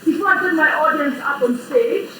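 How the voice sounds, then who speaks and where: A woman from Kenya moderates a conference panel with a loud, playful delivery and quick laughs. Her voice sits a little above the usual female range. She speaks through a handheld mic on stage in front of an audience. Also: sits high, noisy background.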